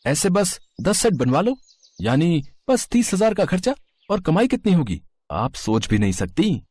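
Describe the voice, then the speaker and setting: A man voicing a poor but shrewd carpenter father, coaxing and ingratiating, in an animated moral story. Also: fairly high pitch, measured pace, slightly rough, dry recording, exaggerated voice. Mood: coaxing, persuasive.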